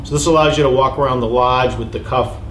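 A man's voice: mid-range voice, steady tone, fast talker. A man in his forties, a shop owner, enthusiastically explaining a product's features in a review. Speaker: shop owner presenting gear. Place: ski boot shop, indoors. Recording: echoey shop room, faint hiss.

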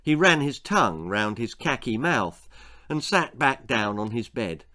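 A man's voice: medium pitch, unhurried. An older man reading a children's story aloud in a theatrical, dramatic way. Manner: animated, theatrical delivery. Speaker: author reading his own story. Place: small book-lined room indoors.

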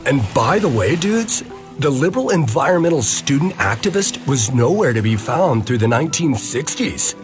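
A man's voice: medium pitch, very animated, normal speed, lightly acted. A man narrating a scripted comic-book history in an expository, emphatic, dramatized way. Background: music.

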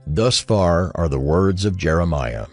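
Male narrator for scripture audio drama, very deep, formal and measured with expressive melody, music underneath.

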